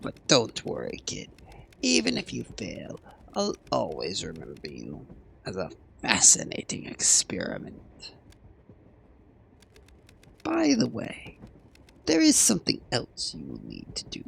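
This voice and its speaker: A hoarse man voicing a sardonic veteran male bank teller who mentors a new recruit with theatrical, drawn-out mockery. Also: deep voice, huge pitch swings, fairly bright tone, slight background noise, heavily acted. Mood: mocking. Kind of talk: video game character voice.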